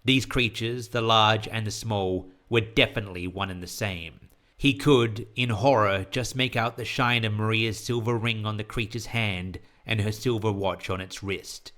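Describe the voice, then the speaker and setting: A man narrating scripted horror fiction in a measured, literary storytelling manner, as the author and host of an audio story podcast. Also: on the low side, fairly even intonation, a bit bright.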